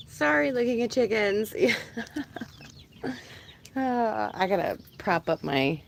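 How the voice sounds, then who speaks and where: A woman chatting casually on a live stream from her chicken coop outdoors, talking to her birds, with a calm, disinterested-sounding voice. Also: on the high side, gravelly, a little hiss or hum. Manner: chatty, laughs often, talks to her birds. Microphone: phone held in hand.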